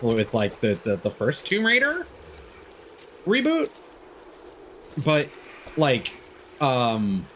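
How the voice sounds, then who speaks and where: Animated man streaming, very lively pitch. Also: a little hiss or hum. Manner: animated, sweary, theatrical outbursts. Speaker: game streamer. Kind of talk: casual gameplay commentary. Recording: game music behind voice.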